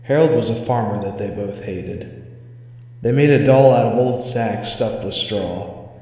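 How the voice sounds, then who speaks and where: A man's voice: on the low side, measured pace, mellow. A man narrating a scripted spooky story as a storyteller. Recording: echoey reverberant hall sound.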